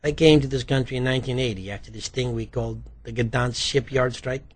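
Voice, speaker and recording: A man's voice: medium pitch, steady tone, a little fast, lightly acted. A man voicing a slippery, talkative immigrant bookie with a light Polish accent, evasive and chatty, in an audiobook. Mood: proud, chatty. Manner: evasive, chatty, questioning tags.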